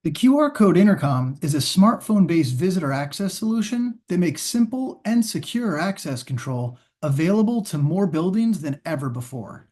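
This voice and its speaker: Higher-voiced man narrating corporate training. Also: darkish tone. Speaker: partner team trainer presenting sales training.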